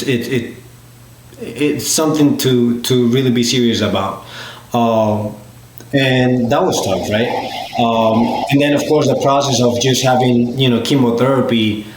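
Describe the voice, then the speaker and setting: A man in his twenties from Peru, a podcast guest telling his story casually with many fillers and restarts. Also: medium pitch. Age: 20s. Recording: echoey room with loud background noise.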